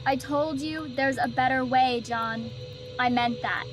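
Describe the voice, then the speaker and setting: A woman playing a calm, wry, seasoned mentor, assured and blunt, in a dramatic staged reading. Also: high voice, measured pace, loud music behind the voice. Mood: coaxing.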